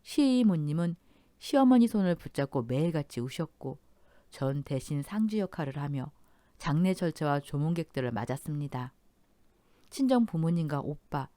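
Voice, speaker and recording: A woman narrating a dramatic family story in a measured, somber tone. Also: deep voice, lively intonation, mellow, no echo.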